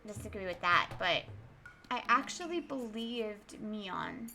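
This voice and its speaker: A woman's voice: medium pitch, very animated, slow pace, vocal fry, bright, forceful tone, faint music behind. A young woman reading a visual novel aloud and chatting about it at home. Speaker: let's player. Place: home room. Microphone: webcam and desk mic.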